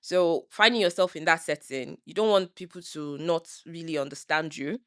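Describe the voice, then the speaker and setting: A middle-aged woman with a Nigerian accent, co-hosting a casual podcast chat, animated and full of "you know"s. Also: deep voice, normal speed, no echo, studio quality. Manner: animated, restarts phrases, frequent "you know"; public speaker. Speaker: podcast co-host.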